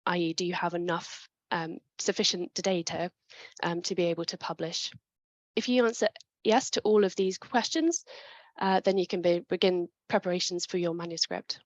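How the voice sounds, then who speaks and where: A woman's voice: on the low side, moderate pace, no room sound, muffled recording. Woman giving a methodical webinar talk. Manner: clear, methodical, with small restarts. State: chest infection coming on. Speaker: presenter. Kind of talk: webinar presentation.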